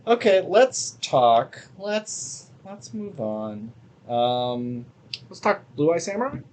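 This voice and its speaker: A man's voice: a little high, big pitch swings, takes it slowly, darkish tone. Casual man co-hosting a review podcast. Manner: profane, opinionated. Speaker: podcast co-host.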